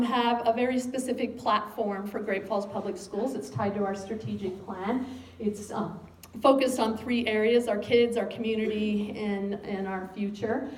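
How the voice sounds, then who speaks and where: An American woman addresses a local gathering as school superintendent, pausing often with ums. Her voice sits mid-range and is picked up from a distance in an echoey room, with a faint hiss underneath. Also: mid-range voice. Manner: frequent ums, speaks of having a loud voice. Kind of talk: public speech to a civic gathering. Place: meeting room.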